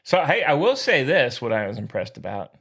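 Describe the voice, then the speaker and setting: A man's voice: mid-range voice, sing-song, a little fast, fairly bright tone, breathy edge. A middle-aged man co-hosting a sports podcast, bantering casually in a playful, teasing, colloquial way.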